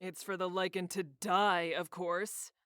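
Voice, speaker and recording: A woman's voice: on the low side, flat delivery, a little slow, fairly bright tone, clear, resonant tone, no room sound, clean studio sound. A woman voicing a sly, haughty, teasing woman with formal, arch phrasing in a visual novel. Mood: sly, confiding. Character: sly teasing haughty woman, formerly violent, now playful. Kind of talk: visual novel character voice reading.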